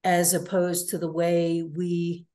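A woman interviewer asking long, measured questions over a video call from home, often saying "you know". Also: lowish voice, completely flat delivery, dark tone. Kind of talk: conversational interview.

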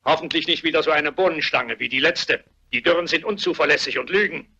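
A miserly, cranky old father in a radio mystery. His high, sharp voice barks with suspicion. The audio is a little muffled. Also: fast, gravelly, husky, exaggerated voice. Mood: grumpy, barking. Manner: barking, suspicious. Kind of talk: German radio crime drama acting.

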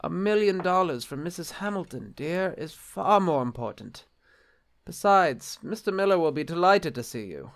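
A man's voice: high voice, lively intonation, moderate pace. Man acting a fussy, earnest bishop. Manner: formal, apologetic, fussy. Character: flustered, earnest bishop, polite and harried husband. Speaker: actor in a drama. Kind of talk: audio drama acting.

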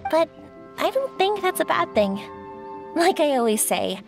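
A high-voiced, cheerful young fireworks maker from a video game. She talks earnestly, with clear music behind her. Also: very animated, a little slow, heavily acted. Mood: wistful, warm.

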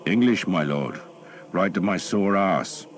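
A man's voice: sits low, lively intonation, a little slow, slight background noise, voice pushed up. A man doing a wry young archer.

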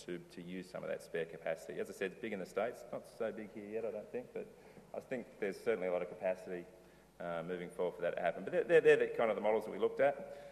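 Deep-voiced man in a hall. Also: fast talker, creaky, a little mellow, slight background noise. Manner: loose, conversational, many ums and restarts. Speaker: conference speaker, brewery owner. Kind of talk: conference talk with slides. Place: large dark auditorium stage. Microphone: lectern mic, filmed from far in the hall. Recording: reverberant hall, distant camera.

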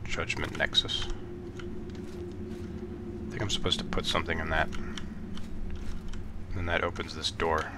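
A man casually commenting on his gameplay, a little hoarse from a cold, talking in a relaxed, halting way with many ums. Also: rumbling low, heavy background noise. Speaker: let's play gamer. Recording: game music playing behind.

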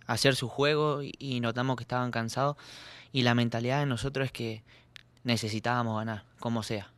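A young man, a soccer player answering at a postgame press conference, earnest and halting with repeated words, speaking into a desk mic. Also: medium pitch, a bit bright, smooth. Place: press room. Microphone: desk mic on stand.

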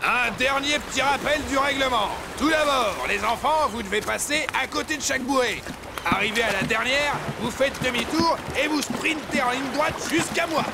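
Cartoon sports coach: big, bossy man with a strikingly high, gravelly voice, giving orders. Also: moderate pace, heavy background noise. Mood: commanding. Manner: commanding, announcing rules. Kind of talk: French animated series dubbing.

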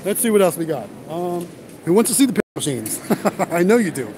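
A man's voice: high-pitched, big pitch swings, fairly quick, background noise. A man hosting a casual livestream, chatting to viewers as he walks a large warehouse auction. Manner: chatty, addresses viewers as guys. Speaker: vending business vlogger and livestream host.

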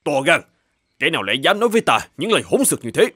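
Cartoon king, older, stern and greedy, imperious delivery, lively intonation. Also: on the high side, rapid-fire, slightly rough, no echo, clean studio sound, heavily acted. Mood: haughty. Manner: imperious, commanding. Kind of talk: dubbed children's cartoon acting.

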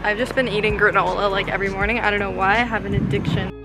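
A woman's voice: mid-range voice, steady tone, very fast, echoey room, over music, low-quality recording. A young woman from the Bahamas reading out questions in a casual chat, giggly and bubbly. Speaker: vlogger's girlfriend, asking questions. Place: sunny room at home.

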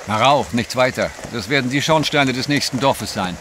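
An actor playing a grumbling, mocking French reporter in an audio drama, teasing in manner. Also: male voice, medium pitch, normal speed, a bit bright, natural voice. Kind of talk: German radio play acting. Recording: noisy rain backdrop.

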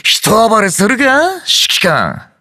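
A man playing a cocky, rowdy young brawler in a game, with a rough, swaggering delivery. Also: high voice, huge pitch swings, slow pace, sharp tone, dry recording, studio quality, exaggerated voice. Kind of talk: game character voice lines.

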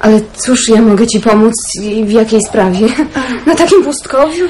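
A woman's voice: fairly high pitch, expressive, normal speed, close to an everyday voice. A woman acting a naive, affectionate young niece in a Polish radio drama. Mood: surprised, puzzled. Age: young adult. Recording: noisy radio play recording.

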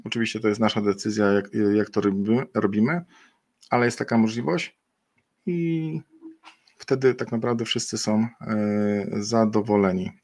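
A man's voice: lowish voice, steady tone, normal speed, darkish tone. A middle-aged man presenting a webinar over a webcam, explaining a program unscripted with frequent fillers and self-corrections.

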